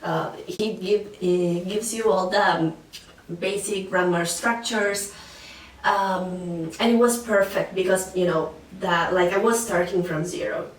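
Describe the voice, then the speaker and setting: A woman's voice: lowish voice, fairly even intonation, measured pace. A young woman from Sicily with an Italian accent, chatting casually to camera about learning languages, with many fillers and restarts. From Sicily, Italy. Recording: echoey room, voice a bit far from mic.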